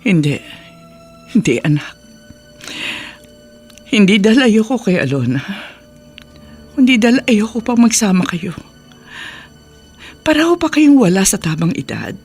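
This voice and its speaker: A husky, sorrowful Filipino mother. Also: medium pitch, sing-song, a little slow, pauses often, darkish tone. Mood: earnest, firm. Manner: emotional, pleading, earnest. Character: sorrowful, firm but loving mother of a teenage son. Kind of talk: Filipino radio drama acting. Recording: background music under the voice.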